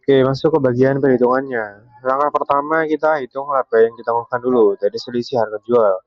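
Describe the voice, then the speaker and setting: A young man walks through material step by step like a student presenter. His voice sits at an ordinary pitch. The recording is very dry and somewhat muffled and lo-fi. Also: fairly even intonation, fairly quick, no room sound. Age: young adult.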